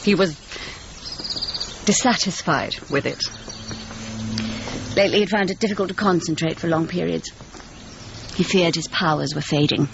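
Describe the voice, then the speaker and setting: A muffled radio drama recording with clear noise behind it carries a dignified noble patroness. She speaks gravely and formally, in measured, deliberate phrases. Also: lowish voice. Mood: sombre. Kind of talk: naturalistic radio drama acting.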